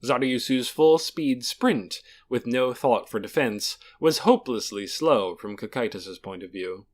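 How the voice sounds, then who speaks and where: Male narrator for fantasy fiction, dramatic scripted read with very lively, varied intonation. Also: medium pitch, measured pace. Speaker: audiobook narrator.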